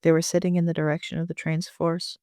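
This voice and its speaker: A man's voice: on the high side, monotone, quick pace, a little mellow, clear, resonant tone, no echo, studio quality. A man reading scripted first-person story narration as an amateur author.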